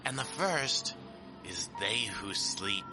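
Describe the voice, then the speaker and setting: Over clear music, a man puts on a creepy gravekeeper host for a horror show. He drags his words slowly and swoops in pitch, theatrical and gleefully mock-friendly. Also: sing-song. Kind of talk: scripted horror show introduction.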